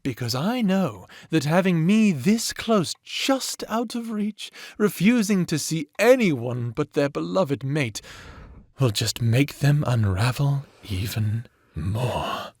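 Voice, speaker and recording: A man's voice: fairly high pitch, expressive, slow, darkish tone, no echo, studio quality, heavily acted. A man acting a sadistic, playful vampire villain who taunts theatrically in an audio drama. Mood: cruel, gloating. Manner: taunting, theatrical, toying with words.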